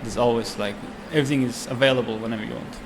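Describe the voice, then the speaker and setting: A young man with a Swedish accent talks casually, pausing often and restarting his sentences. His voice sits at a middling pitch. Steady background noise runs under the recording. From Sweden. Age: 20s. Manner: halting, many fillers and restarts. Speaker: chef and restaurant owner. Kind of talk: casual interview.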